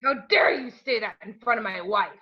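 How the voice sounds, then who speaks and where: A man's voice: high voice, fairly quick, husky. A man playing a bitter, volatile older father in an amateur script read. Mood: furious shouting. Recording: dull lo-fi home recording.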